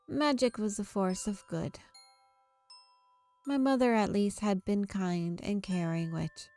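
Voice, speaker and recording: A woman's voice: mid-range voice, mellow. A woman streamer reads visual novel text aloud expressively, dropping in casual asides. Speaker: video game streamer. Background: game music.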